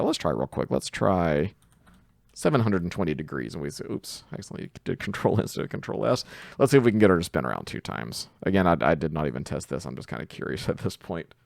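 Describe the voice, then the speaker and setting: A man's voice: sits low, creaky, dry recording, clean studio sound. A man giving a casual coding tutorial, thinking aloud informally as he works through it. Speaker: tutorial presenter.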